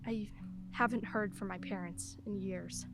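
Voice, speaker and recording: A woman's voice: mid-range voice, fairly even intonation, measured pace, music in the background, close to an everyday voice. An actress playing a wry, candid single mother in her forties in a radio play, a lawyer who laughs easily while talking. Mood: conversational, wry.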